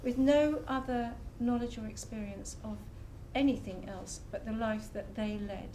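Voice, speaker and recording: Woman, panelist, fairly high voice, faint hum behind, earnest, measured. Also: dark tone. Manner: earnest, measured, arguing a point. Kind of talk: panel discussion. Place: public talk venue.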